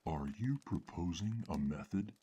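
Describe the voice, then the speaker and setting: A stern fantasy lord, voiced by a man, gives formal commands in a low, husky voice. He sounds weighty and authoritative, like a council elder in an audio drama. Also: lively intonation, a little slow, mellow, highs cut off, full character voice.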